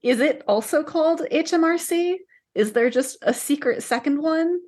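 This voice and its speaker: A woman's voice: high-pitched, lively intonation, normal speed, darkish tone. A woman talking casually as a podcast co-host in a book discussion.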